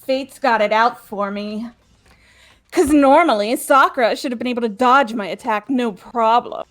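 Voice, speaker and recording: Woman doing a high, theatrical killer girl, cheerfully deranged. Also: normal speed, close to an everyday voice. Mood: gleeful.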